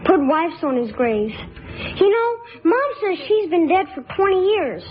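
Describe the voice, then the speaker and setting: A woman's voice: very high voice, sing-song, unhurried, dull audio, full character voice. A woman voicing a sick young boy, innocent and chatty, in a radio drama.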